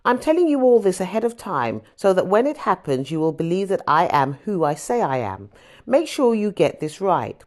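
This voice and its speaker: A woman's voice: fairly low pitch, sing-song, normal speed, darkish tone. A woman reading a Bible story as audiobook narration, expressively, with emphasis on the dialogue. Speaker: scripture reader.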